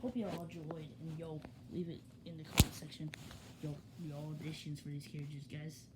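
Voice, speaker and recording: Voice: medium pitch, level tone, normal speed. A speaker chatting casually and informally to camera in slangy, repetitive phrases, recorded on a handheld device in a small room. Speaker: hobby video maker. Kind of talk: casual chat showing toy trains to camera. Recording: noisy amateur camera recording.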